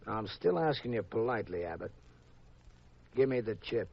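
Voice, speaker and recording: A man acting a menacing, falsely polite gambling boss in a 1950s radio mystery, terse and husky. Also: lowish voice, lively intonation, normal speed. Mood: insistent, threatening. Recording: muffled old radio recording.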